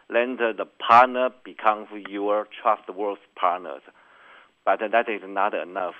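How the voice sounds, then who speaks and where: Man, phone-like sound. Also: on the low side. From Taiwan. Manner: careful non-native English, measured and earnest. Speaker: company executive guest. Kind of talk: business interview answers. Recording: phone-like narrow band.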